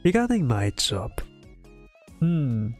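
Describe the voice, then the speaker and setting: A man from Europe, a non-native English speaker, chatting casually on a livestream in a hesitant, halting way with lots of fillers, sounding tired. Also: medium pitch, sing-song, darkish tone. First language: not English. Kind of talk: casual rambling livestream chat. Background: background music.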